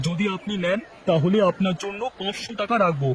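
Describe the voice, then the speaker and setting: A man's voice: high-pitched, fast, close to an everyday voice. A man voicing an eager cartoon fishmonger with a salesman's patter, heard from a distance amid a crowd. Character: eager male market fishmonger quoting a bargain. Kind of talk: cartoon story dialogue.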